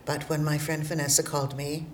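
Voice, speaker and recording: A woman's voice: low voice, little pitch movement, a little fast, slight background noise. An older woman, a semi-retired theatre actor, speaking wryly in an interview. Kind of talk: interview soundbite.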